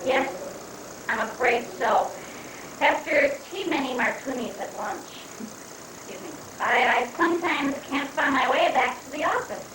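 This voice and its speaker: A woman playing a muddled, bumbling government secretary, halting and flustered, in an amateur reading of a stage satire. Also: sits high. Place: room at a live library reading. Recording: echoey, distant, noisy room recording.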